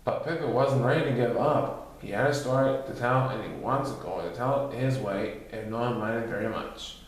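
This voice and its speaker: A man's voice: medium pitch, normal speed. A man narrating a children's storybook expressively, recorded in a very echoey room. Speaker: storybook reader.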